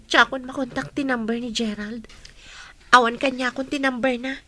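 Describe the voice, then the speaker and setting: A woman's voice: sits high, fairly even intonation, normal speed, pushed and bright. A woman playing a respectful, earnest young daughter in an Ilocano radio drama. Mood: questioning.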